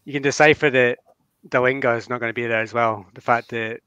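Bright, dry-sounding young man on a headset. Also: mid-range voice, steady tone, brisk, clean tone, no echo, muffled recording. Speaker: co-host. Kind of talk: casual football chat on a video call. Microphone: headset on a video call.

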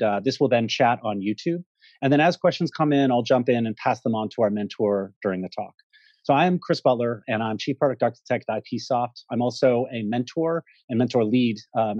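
A man's voice: medium pitch, darkish tone, no echo. Brief: man, 40s, stream moderator, friendly, on a dry, lo-fi call line. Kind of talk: hosting a live stream. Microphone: video call with earbuds. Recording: dull, dry video call audio.

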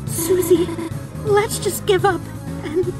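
A woman's voice: extremely high pitch, steady tone, takes it slowly. A woman voicing a timid, sweet, anxious young reindeer monster in a video game fan dub, stammering and hesitant. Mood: fearful, resigned. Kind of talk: fan-dubbed video game character acting. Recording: music clearly behind the voice.

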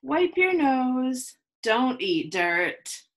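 A woman in her thirties reading a children's picture book aloud in slow, sing-song rhymes, recorded on a webcam at home. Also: high voice, very animated. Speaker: children's read-aloud host. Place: home room with plants, indoors.

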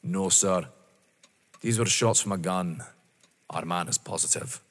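An actor playing a polite, persistent police detective in an audio drama, deferential yet probing. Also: male voice, sits low, unhurried. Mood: insistent, polite. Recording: reverberant hall sound.

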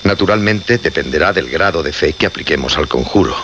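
A man with a Castilian accent acting the solemn, insistent host of an occult show in a radio drama, phrasing deliberately and emphatically. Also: rumbling low, animated. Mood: solemn, mysterious. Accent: Castilian Spanish. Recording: noisy, dull old radio recording.

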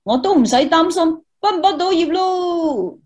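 A woman's voice: extremely high pitch, lively intonation, normal speed, darkish tone. A woman with a Hong Kong Cantonese accent playing an eager, devout young student reporter, earnest and exclamatory, in a Christmas radio play. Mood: grateful, eager.

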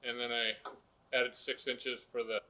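A man's voice: medium pitch, monotone, measured pace, noisy background. A man working as a builder, explaining framing measurements in a practical, step-by-step way outdoors at a job site.

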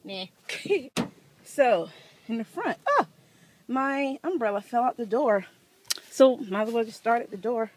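A woman's voice: on the high side, animated, a little slow, long pauses, a little hiss or hum. Chatty woman giving a car tour. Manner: chatty, laughs often, talks to viewers as y'all. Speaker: vlogger. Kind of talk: casual vlog tour. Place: outdoors and inside a parked car. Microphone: handheld phone camera.

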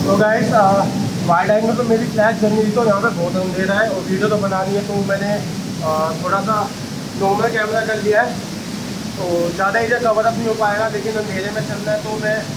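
A young man in his twenties filming a casual travel vlog on a phone at arm's length in a wet cave and forest trail, chatting to viewers and sounding worn out from the climb. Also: sits high. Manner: chatty, addresses viewers as guys and bhai. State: tired, out of breath from climbing. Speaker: travel vlogger. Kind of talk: casual selfie vlog narration. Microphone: handheld phone camera at arm's length. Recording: loud rushing water noise behind. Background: waterfall and stream.

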